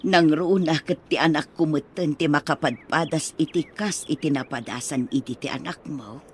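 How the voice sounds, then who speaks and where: A woman with an Ilocano accent playing a fretful, remorseful middle-aged mother in a radio drama, with a plaintive, husky delivery. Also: deep voice, normal speed, a little mellow.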